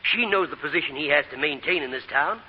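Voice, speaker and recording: An actor playing a blustery older Southern general in a 1940s radio drama, blunt and folksy, with a Southern US accent. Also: male voice, fairly high pitch, animated, fast talker, slightly rough. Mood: worried, indignant. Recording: muffled 1940 radio broadcast, hall reverb.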